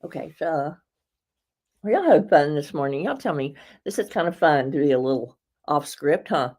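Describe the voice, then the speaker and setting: A woman with a slightly raspy voice hosts a casual live beauty product demo, chatting and rambling with viewers and laughing often. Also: deep voice, animated, moderate pace, a little mellow. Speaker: host presenting beauty products.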